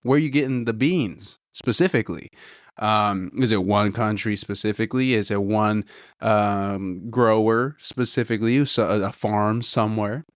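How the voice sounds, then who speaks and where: A man's voice: lowish voice, fairly even intonation, a little slow, dry recording, studio quality. A man hosting a podcast, chatting casually and rambling as he reviews a coffee, a little nasal, saying "dude" and "you know" often. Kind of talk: casual solo chat.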